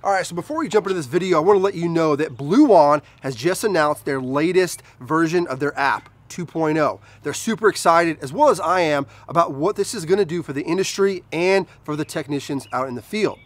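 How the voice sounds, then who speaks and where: A man's voice: a little high, animated, fairly quick, few pauses, no room sound. An American man in his thirties who works as an HVAC technician, presenting casually to camera. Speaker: HVAC technician and video host.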